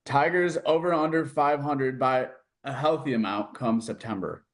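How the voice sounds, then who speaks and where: Guy hosting a sports interview, medium pitch, casual questions. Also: normal speed.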